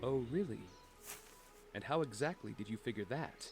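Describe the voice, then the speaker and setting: A man acts out a proud, affectionate father in an audio drama. His voice sits at a middle pitch, gentle and reassuring, with faint music underneath. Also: expressive, moderate pace, close to an everyday voice. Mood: playful, affectionate. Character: loving, proud doctor father, gently teasing.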